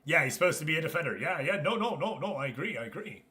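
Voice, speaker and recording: A man's voice: on the high side, very animated, fast, sharp tone. A man hosting a gaming livestream, talking casually and chummily to his viewers as he comments. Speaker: livestream host.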